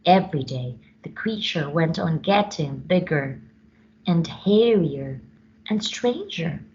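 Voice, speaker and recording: A woman storyteller reads to children at an unhurried pace, with big swoops in pitch and dramatic emphasis. Her voice sits slightly low, and the recording is a little muffled. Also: expressive, darkish tone. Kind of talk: children's read-aloud storytelling.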